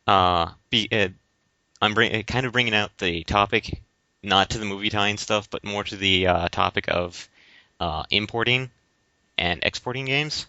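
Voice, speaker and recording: A man's voice: on the low side, moderate pace, bright, forceful tone, no room sound. A man co-hosting a podcast, explaining his views casually in a hesitant, roundabout way. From United States. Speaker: podcast co-host. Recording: dull lo-fi audio.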